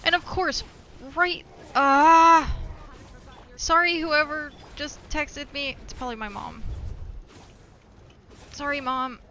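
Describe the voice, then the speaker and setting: A woman's voice: high-pitched, fairly even intonation, slow pace, long pauses, bright, forceful tone, slight background noise. A woman doing casual live game commentary as a streamer, chatty and exclamatory, often talking to herself and to the game.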